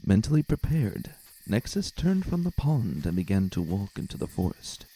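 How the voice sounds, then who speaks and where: A man's voice: on the low side, big pitch swings, normal speed, warm tone, slightly rough, poor audio. A man giving a dramatic storybook narration as a scripted reader. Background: faint music.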